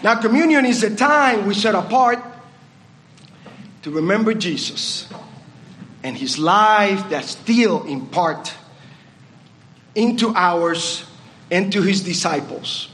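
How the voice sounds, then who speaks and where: Slow, high-voiced Puerto Rican man preaching. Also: expressive, fairly bright tone, a little hiss or hum. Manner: slow, reflective, asks the congregation questions. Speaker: communion speaker. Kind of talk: church communion meditation. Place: church service.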